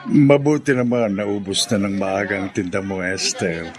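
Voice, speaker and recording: An actor playing a persistent, smooth-talking widowed shopkeeper in a Filipino radio drama, coaxing and hesitant, with a husky, gravelly voice. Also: male voice, lowish voice, fairly even intonation, normal speed, a faint crowd, lightly acted. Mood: coaxing, wooing.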